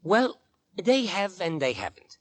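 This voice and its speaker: Earnest, husky London policeman. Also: high voice, huge pitch swings, dry recording, exaggerated voice. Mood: confiding. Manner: folksy, deliberate storytelling. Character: earnest, chatty working-class London policeman and suitor. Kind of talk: 1940s radio acting. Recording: vintage 1940s radio recording.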